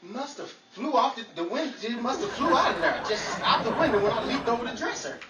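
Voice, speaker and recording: A man's voice: very high-pitched, very animated, a little fast, over a noisy crowd. A man playing a shifty, flustered amateur sleuth in a 1940s-style radio comedy, stammering and repeating himself in exasperation. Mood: defensive. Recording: roomy, distant, very noisy live recording.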